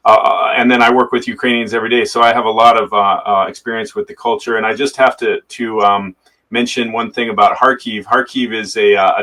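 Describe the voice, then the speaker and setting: A man's voice: fairly low pitch, bright, forceful tone, recorded from a distance, rough recording. An American man, a former soldier, answering interview questions hesitantly, with many fillers and repeated words. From United States. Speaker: soldier and guest. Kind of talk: conversational interview.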